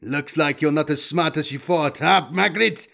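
A man voicing a tough, menacing male bounty hunter in an audiobook, taunting and deliberate. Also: a little high, vocal fry, a bit bright, voice pushed up. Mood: sardonic. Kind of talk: audiobook character voice.

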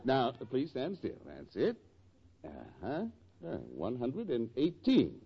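An actor playing a polite but exasperated doctor, formal and a bit flustered, in a 1940s radio comedy. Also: male voice, medium pitch, wildly swooping pitch, takes it slowly, creaky, heavily acted. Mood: strained patience. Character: exasperated, polite male doctor with dry patience. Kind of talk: 1940s radio sitcom acting. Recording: dull vintage 1940s radio.

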